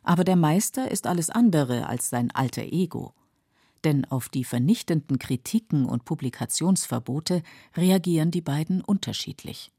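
A woman narrating a scripted literary documentary in a measured, explanatory way, with a husky, creaky voice. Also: low voice, expressive, dark tone, no room sound, clean studio sound. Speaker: narrator of literary radio feature.

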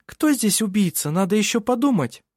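Guy doing a proud young woman, high and defiant, dry close read. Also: very animated, moderate pace, a little mellow, dry recording.

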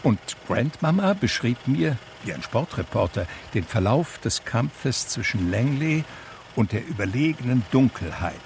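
An actor playing a reflective man who tells his life story in measured literary radio drama narration. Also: mid-range voice, very animated, darkish tone, a little hiss or hum. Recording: music under the voice. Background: music.

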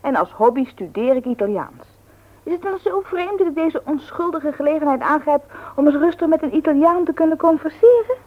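An actress playing a strong-willed, self-justifying wife in a radio play, insistent and exasperated. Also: female voice, sits high, animated, fairly quick. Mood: indignant. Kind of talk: Dutch radio play acting. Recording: thin phone-like old radio recording.

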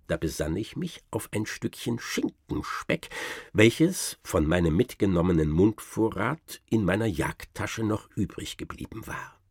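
Radio play raconteur: self-satisfied gentleman hunter, lowish voice with very animated, swooping delivery. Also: measured pace, husky, dry recording, studio quality, heavily acted. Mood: boastful, relishing. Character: boastful gentleman hunter spinning absurd tall tales. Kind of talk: theatrical first-person storytelling, German radio drama.

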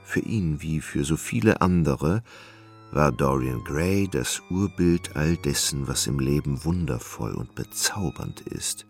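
A man with a very low, creaky voice narrates a literary radio drama. He tells the story in a measured way, with faint music behind him. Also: animated.

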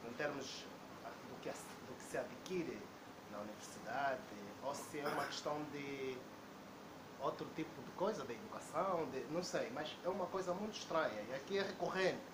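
A man's voice: fairly high pitch, animated, normal speed. Hesitant man telling an anecdote. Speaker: debate participant. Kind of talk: public debate talk. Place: debate hall indoors. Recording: echoey room with background noise.

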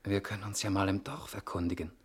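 An actor in a 1960s German radio play, playing a reserved, wary nephew who speaks in terse questions. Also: male voice, low-pitched, little pitch movement, fast, slightly rough. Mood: subdued, practical. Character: reserved, wary adopted nephew arriving at mountain chalet.

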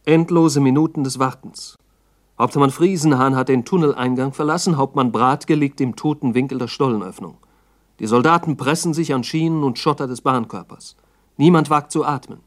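A man's voice: mid-range voice, normal speed. A man narrating a 1960s documentary radio drama in a terse, factual reporting manner.

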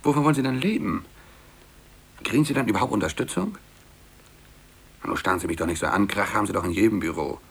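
A man's voice: on the low side, animated, fast, creaky, pauses often, slightly rough, exaggerated voice. A man acting a naive, well-meaning young office colleague in a 1950s German radio play, earnest and a little hesitant. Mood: hesitant, concerned. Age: young adult.

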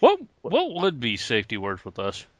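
A man's voice: medium pitch, huge pitch swings, normal speed, fairly bright tone, no echo. A man co-hosting a podcast in casual joking banter, laughing often. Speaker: podcast co-host. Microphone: podcast mic.

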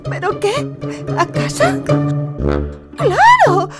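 An excitable, scatterbrained female singer in a comic radio sketch. Her very high voice leaps wildly up and down as she gushes, with music playing behind her. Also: extremely slow, full character voice. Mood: frantic. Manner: excitable, swooping delivery. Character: excitable, scatterbrained young woman singer chasing a hit song.

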